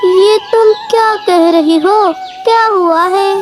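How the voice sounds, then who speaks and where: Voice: extremely high pitch, slow pace. An actor voicing a young male crow in a Hindi children's cartoon, earnest and emphatic, with a pleading manner. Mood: shocked, pleading. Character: lazy young male crow, pleading, then remorseful and hopeful. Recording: loud music behind the voice.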